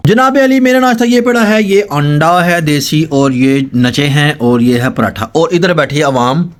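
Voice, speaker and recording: A man's voice: on the high side, moderate pace. A man with a Pakistani accent hosting his family vlog, teasing his family and speaking to viewers, with a sore throat. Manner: playful, teasing, addresses viewers as "janab". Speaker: channel host.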